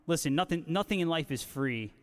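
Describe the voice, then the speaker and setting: A man's voice: on the high side, animated, brisk. A man hosting a casual guitar livestream, reading out viewer comments and bantering with joking, slangy talk. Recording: long hall-like reverb on voice.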